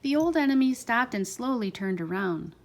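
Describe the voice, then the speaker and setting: Higher-voiced woman reading a children's storybook. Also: lively intonation, moderate pace. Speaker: parent reading aloud with a child.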